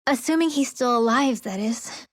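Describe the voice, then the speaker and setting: An actress playing a guarded, wry young rebel in a video game cutscene. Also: female voice, fairly high pitch, normal speed, dry recording, clean studio sound, lightly acted. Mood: wry, matter-of-fact. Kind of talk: dramatic video game voice acting.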